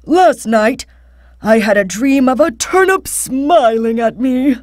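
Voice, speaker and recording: A woman voicing a cheerful, patient old grandfather farmer in a storybook, with drawn-out, expressive delivery. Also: a little high, no echo, studio quality. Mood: patient, content.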